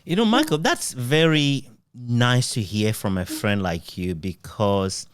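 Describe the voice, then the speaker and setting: A middle-aged man conducting a reflective, conversational interview in a sentimental, halting and thoughtful way. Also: medium pitch, animated, clean tone, no echo. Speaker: interviewer, journalist.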